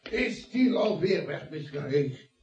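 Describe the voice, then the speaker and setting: A man's voice: a little high, sing-song, takes it slowly. A man acting in a Dutch radio drama as a dryly sarcastic office boss. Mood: sardonic. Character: dry, sardonic male office boss. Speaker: radio play actor. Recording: muffled, noisy old radio recording.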